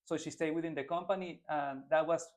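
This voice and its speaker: A man's voice: a little high, steady tone, brisk. A man in his twenties from Venezuela, a native Spanish speaker with a Venezuelan accent, answering interview questions earnestly with frequent restarts as a political candidate.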